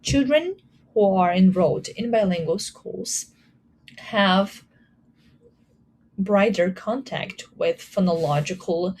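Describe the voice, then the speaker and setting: A Brazilian woman, a native Portuguese speaker with a Brazilian Portuguese accent, presenting research at a conference in a measured way, with frequent ums and uhs. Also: mid-range voice, darkish tone, a little hiss or hum, poor audio. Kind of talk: academic talk.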